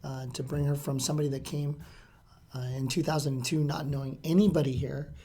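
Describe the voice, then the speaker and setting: A man from California with a hoarse, raspy voice after a lot of talking, chatting casually as he interviews a guest in a real estate office. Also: fairly high pitch, flat delivery, fairly quick, warm tone. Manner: chatty, with frequent "uh" fillers.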